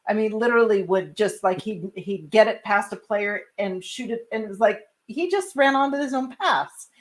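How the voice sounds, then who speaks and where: A woman interviews on a podcast in a middle-pitched voice. She is chatty and familiar, taking her time to wind through long remarks and questions. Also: animated, normal speed. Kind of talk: casual conversational interviewing.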